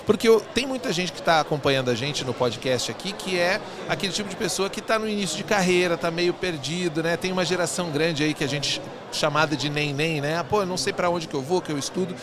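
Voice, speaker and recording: A man's voice: a little high, fast talker, hardly any pauses, a crowd in the background. A man from southern Brazil, hosting a podcast interview in a chatty, enthusiastic way with rambling questions. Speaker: podcast host. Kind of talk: casual interview chat.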